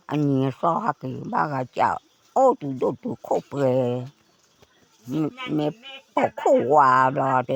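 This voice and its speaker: A woman telling a folk tale as a storyteller, in a slow, unhurried way with lively rises and falls. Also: sits low, gravelly.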